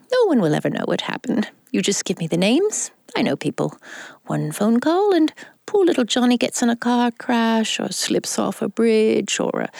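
Flamboyant rich old widow, swooping delivery. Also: female voice, mid-range voice, vocal fry, dry recording, clean studio sound. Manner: theatrical, grand, flirtatious drawl. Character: flamboyant, lusty, vengeful wealthy widow in her seventies. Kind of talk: audio drama acting.

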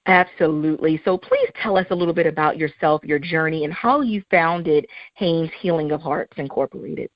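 Lower-voiced woman hosting an interview. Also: moderate pace, hardly any pauses, dry recording, highs cut off. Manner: warm and affirming, with lots of encouraging interjections. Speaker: talk show host. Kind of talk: interview hosting, reading an introduction.

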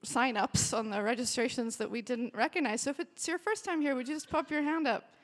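A woman's voice: high-pitched, fairly quick. A woman in her forties, a worship director, giving a chatty and emotional welcome to a church retreat in a large hall. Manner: warm, chatty and emotional, with bursts of cheering. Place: large hall with a live audience. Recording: long hall reverb.

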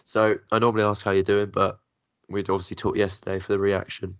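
A young man with a slightly croaky voice from being ill chats easily through a podcast. His voice is lowish and flat. The recording sounds dull and lo-fi. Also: quick pace, no room sound. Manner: relaxed, filler-heavy, easygoing. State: croaky from illness. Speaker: podcast host. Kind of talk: casual chat. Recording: dull lo-fi audio.

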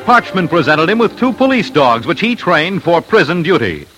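A man's voice: fairly high pitch, very animated, normal speed, low-quality recording. A man delivering dramatic scripted narration for an old-time radio documentary. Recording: old radio broadcast with music.

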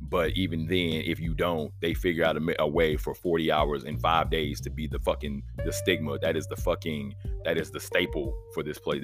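A man with a very deep voice and an Oklahoma accent rambles through a casual solo podcast. He is profane and laid-back, in his thirties, and faint music plays behind him. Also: a bit bright. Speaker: podcast host.

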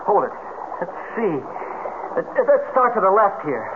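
A male actor playing a practical, commanding leader of trapped cave explorers in a 1970s radio drama, talking briskly. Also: high voice, very animated. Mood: brisk, in charge. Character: practical, take-charge man leading trapped cave explorers. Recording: tinny, noisy 1970s radio broadcast.

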